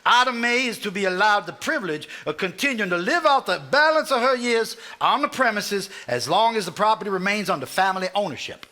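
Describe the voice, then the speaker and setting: A man with a Southern US accent playing a formal, measured family lawyer reading a will aloud in a live stage drama. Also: sits high, expressive, bright, forceful tone. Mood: solemn, formal. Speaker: stage actor.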